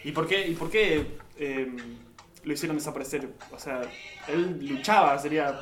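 A man's voice: on the high side, normal speed, a bit bright, soft background music. An Argentine man speaking as a panelist in an informal radio discussion, hesitant and searching for his words.

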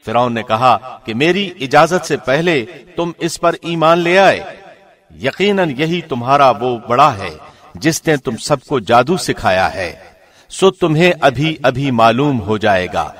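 A man reading a scripture translation from a script like a radio presenter, with formal, measured enunciation. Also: mid-range voice, lively intonation, fairly bright tone.

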